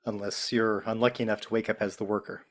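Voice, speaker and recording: A man's voice: fairly low pitch, flat delivery, quick pace, natural voice. Man acting an exhausted, indignant everyman. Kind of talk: table read of a TV script.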